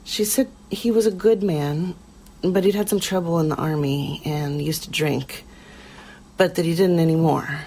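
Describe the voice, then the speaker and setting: A woman playing a quiet, lonely middle-aged churchgoer who recounts her past haltingly and plainly, as in a documentary interview. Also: deep voice, husky. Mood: subdued, reflective. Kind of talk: audio drama mock-documentary interview.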